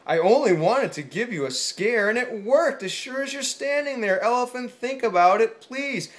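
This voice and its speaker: A woman's voice: fairly high pitch, lively intonation, moderate pace, close to an everyday voice. A woman voicing a cheeky little gray mouse in a storybook, teasing and mischievous.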